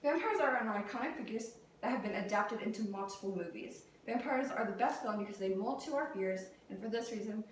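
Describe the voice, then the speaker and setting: A woman's voice: mid-range voice, animated, a little fast, few pauses. A young adult woman delivering a prepared persuasive speech as a student, reading out structured points and sometimes stumbling over words. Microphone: distant camera or room mic. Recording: very echoey room, distant mic, faint noise.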